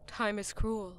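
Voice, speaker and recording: Wistful immortal goddess of war. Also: female voice, mid-range voice, slight background noise. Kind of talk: audio drama acting.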